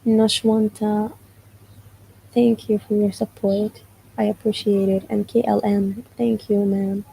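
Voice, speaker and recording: Slow, gravelly-voiced Palestinian woman. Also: medium pitch, level tone, warm tone, slight background noise, low-quality recording. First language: Arabic. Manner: explanatory, often asks "you know what I mean?". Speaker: livestreamer. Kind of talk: casual live stream answering chat.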